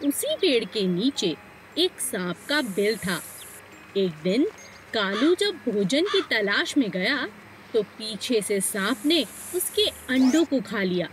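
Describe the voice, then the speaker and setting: A woman's voice: fairly high pitch, sing-song, slow, slight background noise, poor audio. A woman narrating a children's moral story as a scripted storyteller.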